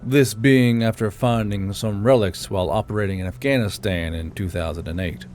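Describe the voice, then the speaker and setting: A man's voice: on the low side, fairly even intonation, moderate pace, darkish tone, a little hiss or hum. A man reading scripted military fiction as an audiobook narrator.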